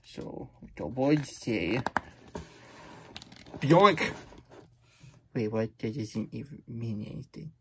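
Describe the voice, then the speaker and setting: A man voicing a childlike, fretful and grumbly plush hedgehog who hosts a puppet story time, stammering through exasperated asides with a husky voice. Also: medium pitch, lively intonation, takes it slowly, long pauses, a bit bright, a little hiss or hum. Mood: reluctant, fretful. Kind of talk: puppet read-aloud with chatty asides.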